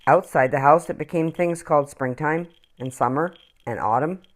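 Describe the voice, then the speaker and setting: A woman reading a children's picture book aloud as a storyteller, expressively and with dramatic pauses. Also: sits low.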